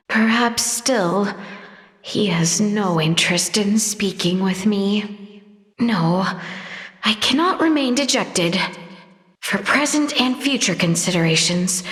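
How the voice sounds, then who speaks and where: A woman's voice: medium pitch, fairly bright tone, breathy edge, dry recording, heavily acted. An actress playing a polite, earnest young noblewoman in a fantasy video game, speaking in formal, archaic, courteous phrases. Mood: subdued, resolute. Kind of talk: video game character voice acting.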